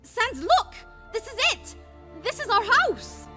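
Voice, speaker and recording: A woman voicing a small, naive, excitable skeleton boy in a cartoonish comic dub, childlike and eager. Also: very high-pitched, slow, heavily acted. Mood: excited. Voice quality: childlike, piercing. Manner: eager, exclaiming. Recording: music behind the voice.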